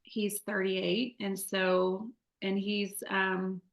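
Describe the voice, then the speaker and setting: A woman's voice: medium pitch, little pitch movement, very slow, vocal fry, echoey room. A woman in her forties from the Midwest, sharing her life story as an interview guest in a candid, chatty way with many fillers and restarts. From Midwest United States. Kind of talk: conversational testimony.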